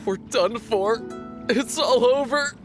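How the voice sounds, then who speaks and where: A man voicing a despairing stranded castaway in a game cutscene, speaking in halting, hopeless phrases. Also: very high voice, faint music behind.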